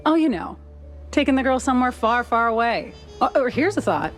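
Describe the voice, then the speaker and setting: A woman's voice: on the high side, very animated, fairly quick, soft background music. A woman playing a wry, caring mouse confidante in a noir cartoon video game, teasing yet earnest. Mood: teasing, concerned. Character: wry, concerned adult female mouse, old friend of detective.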